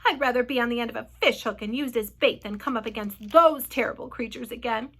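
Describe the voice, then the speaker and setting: A woman's voice: a little high, animated, normal speed, fairly bright tone, slightly rough. A woman voicing a gloomy, panicky old male earthworm from a children's storybook, fretful and wailing. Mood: terrified.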